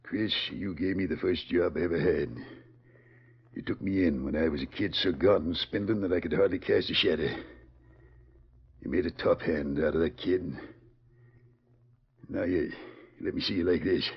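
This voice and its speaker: An actor playing an excitable but loyal Old West sheriff with a rural Western American accent, sputtering folksy exclamations in 1940s radio style. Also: male voice, sits low, moderate pace, vocal fry, pauses often, husky, exaggerated voice. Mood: stunned, grieving. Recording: muffled 1940s radio recording.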